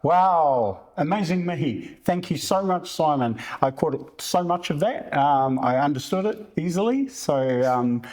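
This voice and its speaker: A man's voice: fairly high pitch, animated, measured pace, few pauses, darkish tone. A man hosting a casual interview, with frequent affirmations and hesitant, searching questions. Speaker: podcast host.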